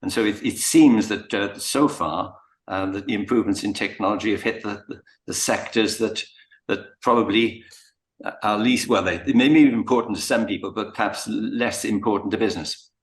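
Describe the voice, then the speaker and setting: A man's voice: on the low side, moderate pace. A middle-aged man hesitantly and carefully putting a question in an online meeting. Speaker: audience member asking a question. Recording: roomy, echoing meeting audio.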